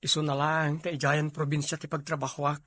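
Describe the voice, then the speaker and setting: A man's voice: on the high side, monotone, fairly quick, sharp tone, dry recording, clean studio sound. A man playing an earnest young job-seeking cousin in an audio drama. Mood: earnest, eager. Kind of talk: amateur Filipino audio drama acting.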